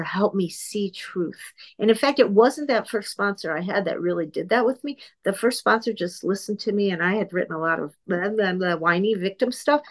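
A woman in her fifties giving an earnest, candid, self-deprecating recovery share to a group over an online call from her computer. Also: medium pitch. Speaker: speaker sharing at a twelve-step meeting.

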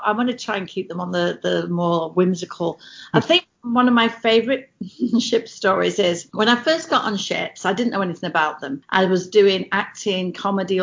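A middle-aged English woman, a former cruise ship entertainer, telling lively stories in casual chat. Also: medium pitch, gravelly, dull audio. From England. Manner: lively storyteller, animated quoted voices.

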